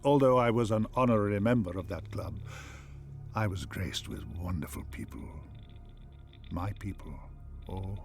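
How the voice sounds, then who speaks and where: An ancient machine intelligence acted by a man speaks in a low voice, with theatrical, measured grandeur. He is a wistful philosopher of a science fiction game, lofty and deliberate in every phrase. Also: animated, gravelly. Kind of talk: theatrical scripted game voice acting.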